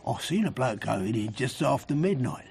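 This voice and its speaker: An actor with an English accent playing a dogged working-class private investigator in a radio drama, blunt, colloquial and rough-voiced. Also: male voice, mid-range voice, big pitch swings, moderate pace, darkish tone, exaggerated voice. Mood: matter-of-fact. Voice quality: gravelly, rough. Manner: blunt, colloquial, matter-of-fact.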